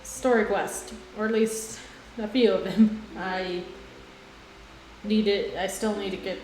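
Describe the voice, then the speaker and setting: Unhurried woman streaming a game, echoey. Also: medium pitch, steady tone, darkish tone. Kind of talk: casual livestream gaming chat. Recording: echoey room, music behind. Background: game music.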